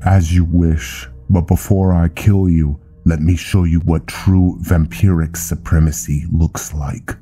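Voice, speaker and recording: A man plays an elder vampire knight from a fantasy game, with a very low, dark voice. He speaks formally and slowly, pompous and commanding, with music behind him. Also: little pitch movement. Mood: haughty, menacing. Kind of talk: fantasy game character dialogue.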